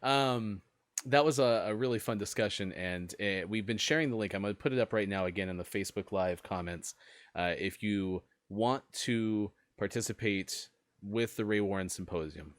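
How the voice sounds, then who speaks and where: A man's voice: on the low side, moderate pace, dry recording. A man hosting a live web show, thanking guests and making announcements in an upbeat, friendly way with frequent uhs. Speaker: host.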